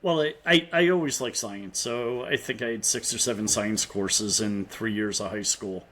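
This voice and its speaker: A man's voice: fairly low pitch, normal speed, fairly bright tone, slight background noise. A middle-aged man with a Philadelphia accent, talking as a podcast guest. He rambles a little, with restarts and fillers, in a loose, conversational way. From Pennsylvania. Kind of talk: casual interview conversation.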